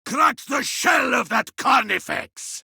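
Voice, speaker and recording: An actor playing a brash, zealous, battle-hungry space marine with a rough gravelly voice in a video game. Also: male voice, high-pitched, big pitch swings, slow pace, bright, forceful tone, no room sound, studio quality, exaggerated voice. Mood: exultant. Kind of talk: video game combat barks.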